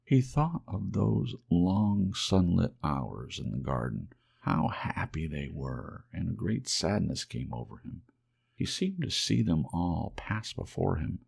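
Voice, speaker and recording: A man softly and expressively narrating a children's storybook as an ASMR audiobook. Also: sits low, vocal fry, husky.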